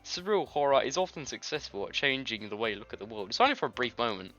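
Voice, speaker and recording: A man's voice: medium pitch, sing-song, fast talker, pushed and bright. A man reading game text aloud for a let's play, adding casual asides and stumbling over words. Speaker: video game streamer. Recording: slightly muffled lo-fi audio, music behind. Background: game music.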